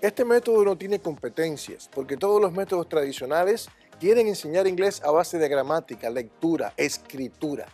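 An older man with a slightly raised pitch and a husky edge, talking in a confident, instructive way. He is being interviewed on a television studio set through a lapel mic, and faint music plays underneath. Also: animated, moderate pace, few pauses, dark tone. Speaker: language school director.

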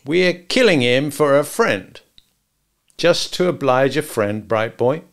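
Guy playing a smug gravelly hitman, drawls and needles people nonstop. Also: medium pitch, animated, takes it slowly, pitched above the performer's own voice. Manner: mocking, drawling, taunting. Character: sardonic male gangster hitman, taunting.

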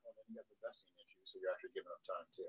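A man's voice: deep voice, steady tone, very fast, fairly bright tone, slightly rough, distant mic, low-quality recording. A man in the audience asking and adding points from off to the side. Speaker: audience member. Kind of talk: question from the audience.

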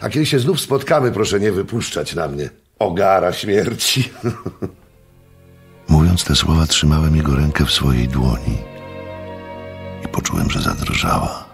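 An actor playing an inquisitive, courteous gentleman who recounts a strange case in a radio drama, with formal, polite speech. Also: rumbling low, very animated, unhurried, creaky, long pauses, faint music behind, heavily acted. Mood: courteous, wry. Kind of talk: Polish radio drama acting and narration.

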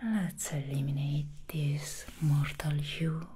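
A woman voicing an alluring female demon hairstylist in a whispered ASMR roleplay, speaking in a breathy whisper close to the microphone with slow teasing hums between lines. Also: low-pitched, steady tone, noisy background. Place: small dressed studio set indoors. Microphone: close sensitive microphone.

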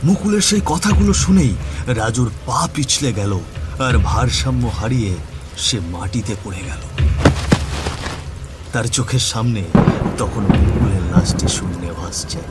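A man's voice: fairly low pitch, animated, measured pace, long pauses, over loud music. A man narrating a scripted horror story in a dramatic storytelling style.

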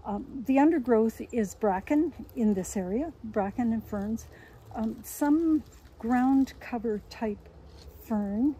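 A woman's voice: fairly high pitch, background noise. Older woman guiding a forest walk. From Canada. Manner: observant, explanatory, gesturing while walking. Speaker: woodlot owner giving a tour. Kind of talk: casual guided walk. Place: autumn forest outdoors.

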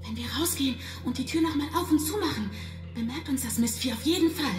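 Kyra, high-voiced determined young girl played by an actress. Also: fast, roomy sound, music in the background, close to an everyday voice. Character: Kyra, determined, brave young girl leading her friends. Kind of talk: German children's audio drama acting.

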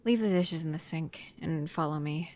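A woman playing a protective, upset human lover in an ASMR roleplay, stern yet often softly whispery. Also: low voice, moderate pace, slight background noise. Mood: soft, affectionate. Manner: soft, breathy near-whispers mixed with stern scolding.

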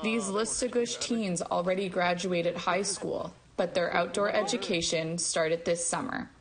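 A woman narrating a scripted news report in an even, measured way. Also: on the low side, crowd noise, rough recording.

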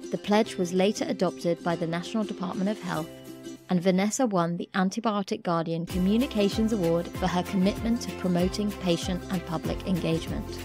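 A woman's voice: lowish voice, steady tone, brisk. A woman in her thirties hosting an interview, reading an enthusiastic introduction into a studio microphone. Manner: enthusiastic, warm emphasis. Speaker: podcast host. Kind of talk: scripted guest introduction and interview. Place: pink-lit home studio. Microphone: studio mic with headphones. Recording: music bed behind voice.

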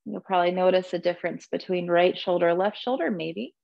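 A woman teaching a guided yoga class in a soothing, gentle, unhurried manner, cueing breath and movement. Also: on the low side, creaky, no echo, dull audio.

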